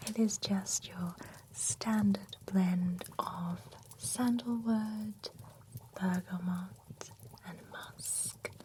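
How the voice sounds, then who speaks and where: A woman voicing a genteel female vampire spa attendant in an ASMR roleplay, whispering close to the mic in a gently chatty, courteous way. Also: medium pitch, a little hiss or hum, close to an everyday voice.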